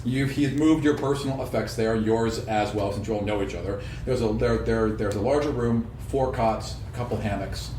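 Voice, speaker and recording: A man's voice: lowish voice, moderate pace, hardly any pauses, darkish tone, distant mic. A man acting as game master, narrating an improvised tabletop role-playing game. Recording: roomy, noisy group recording, off mic.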